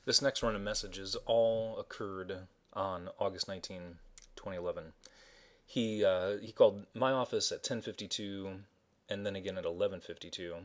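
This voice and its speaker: A man's voice: low voice, fairly even intonation, moderate pace. A man narrating informally as he introduces archived messages, in a hesitant, matter-of-fact way.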